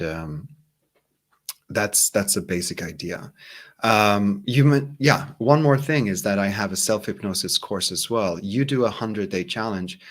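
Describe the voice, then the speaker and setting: A man with a Swedish accent, a native Swedish speaker, talking as a hypnotherapist guest in a casual interview, calm and reflective, often repeating words as he searches for them. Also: deep voice. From Sweden.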